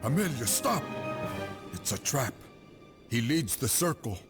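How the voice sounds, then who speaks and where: An actor playing a wise, grave older frontier hero in a video game, speaking in a measured, solemn way. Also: male voice, mid-range voice, very animated, fairly bright tone, faint music behind. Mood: urgent. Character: grave, wise old great-uncle, seasoned frontier hero. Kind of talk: video game cutscene acting.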